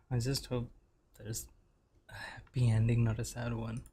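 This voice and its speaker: A woman's voice: very deep voice, little pitch movement, unhurried, warm tone, husky. A woman streaming a game, reacting casually to the story and reading on-screen text aloud. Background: game music.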